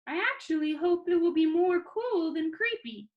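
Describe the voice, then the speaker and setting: A woman puts on a cheeky, dying teenage boy in a read-aloud storybook. He talks in a high, lively voice that rises and dips a lot. His delivery is dramatic, teasing and full of jokes. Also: normal speed, narrow, tinny audio. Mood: playful, secretive. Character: dying teenage boy making joking farewell videos.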